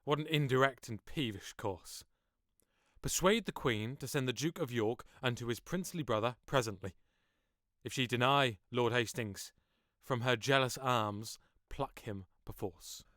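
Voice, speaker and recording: A man's voice: mid-range voice, a little slow, a bit bright, dry recording, studio quality, lightly acted. A man playing a commanding, scheming nobleman, speaking persuasive, declamatory verse in a Shakespearean audio drama. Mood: scornful, insistent. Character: commanding, scheming duke, smoothly argumentative nobleman.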